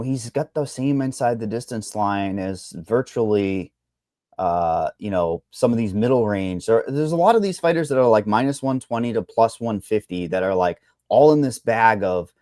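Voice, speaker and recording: A man's voice: mid-range voice, darkish tone, no room sound, studio quality. A man with a Boston accent, co-hosting a casual fight betting chat, rambling through odds with blunt, salty asides. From Boston, Massachusetts. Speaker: MMA betting analyst.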